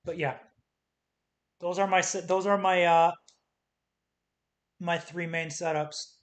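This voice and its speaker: A man talking casually through stock charts in short, clipped calls, his voice going hoarse as he says he is losing it. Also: high-pitched, steady tone, slow pace. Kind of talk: casual screen-share chart commentary. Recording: faint hiss.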